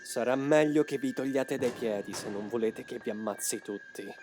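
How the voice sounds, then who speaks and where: A man acts as an intense young man with secrets, from a dubbed anime motion comic. His mid-range voice is cool, solemn and used to giving orders. Music plays clearly behind him. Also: medium pitch, normal speed, lightly acted. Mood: commanding. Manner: solemn, commanding, dramatic.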